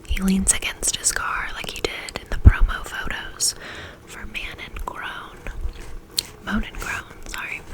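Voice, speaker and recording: A woman reading a first-person novel aloud in a soft, intimate whisper, in a steady ASMR style. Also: mid-range voice, low-quality recording. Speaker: audiobook reader.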